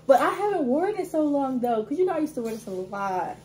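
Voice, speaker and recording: A woman's voice: sits high, big pitch swings, fast talker, mellow, a little hiss or hum. A young woman co-hosting a casual vlog, teasing her sister and laughing as she runs the challenge timer at a table indoors. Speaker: co-host. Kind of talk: casual chat.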